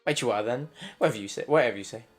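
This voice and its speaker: A man's voice: mid-range voice, very fast, faint music behind, close to an everyday voice. A man doing a teasing Welsh local. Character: teasing Welsh man, fond of calling people boyo.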